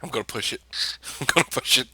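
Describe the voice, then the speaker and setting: A man's voice: fast talker, pushed and bright, no echo. A man playing a tabletop game, talking casually and swearing freely, recorded with some background noise.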